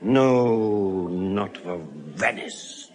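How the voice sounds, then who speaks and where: An actor playing a vengeful, stubborn moneylender in an audio Shakespeare drama, theatrical and emphatic, moving from hushed menace to crying out. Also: male voice, lowish voice, very slow. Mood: fierce, unyielding. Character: vengeful, stubborn moneylender, middle-aged or older man. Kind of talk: classical stage acting in audio Shakespeare.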